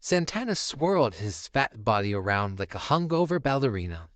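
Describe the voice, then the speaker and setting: Male narrator, scripted bedtime story, measured, theatrical, lively intonation, dry close recording. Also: mid-range voice, no room sound.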